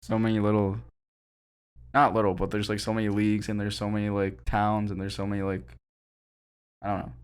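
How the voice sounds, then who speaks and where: Smooth low-voiced young podcast host. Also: male voice, completely flat delivery. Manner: rambling, easygoing, quick to laugh along. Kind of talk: casual chat.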